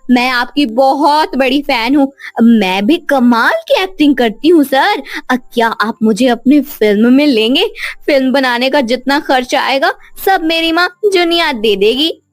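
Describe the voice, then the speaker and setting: Woman as a jealous young villainess, haughty, very animated high voice, cartoon story. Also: measured pace, no echo, soft background music. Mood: gushing. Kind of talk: Hindi animated moral story voice acting.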